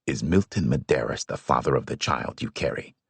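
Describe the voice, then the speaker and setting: A man's voice: a bass voice, expressive, brisk, vocal fry, husky, no room sound, voice pushed down, heavily acted. A man voicing a stern, commanding old father. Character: stern older father, family patriarch.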